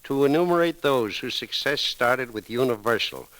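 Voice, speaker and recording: A man's voice: mid-range voice, animated, normal speed, fairly bright tone. A man with an American accent reading a formal eulogy on 1930s radio in a solemn, oratorical way. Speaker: newspaper editor giving a eulogy. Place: radio broadcast. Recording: crackly, sizzling 1930s radio recording.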